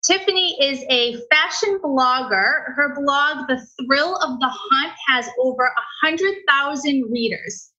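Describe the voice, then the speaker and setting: Woman podcast host, high and bright, eager and talkative. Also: highs cut off. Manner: enthusiastic, talkative. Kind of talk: casual interview chat.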